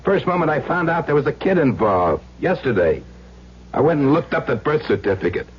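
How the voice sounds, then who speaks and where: A man's voice: fairly high pitch, very animated, brisk. An actor playing a crude, taunting blackmailer husband with slangy tough-guy talk, in old-time radio drama style. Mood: smug menace. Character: crude blackmailing husband back from presumed death, slangy and menacing. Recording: dull lo-fi radio recording, faint hum.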